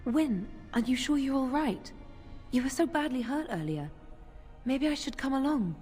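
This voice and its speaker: A woman's voice: sits high, expressive, moderate pace, mellow, soft background music. A woman playing an anxious, earnest young mage in a video game, speaking with hesitant worry. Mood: worried. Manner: worried, hesitant. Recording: long hall reverb.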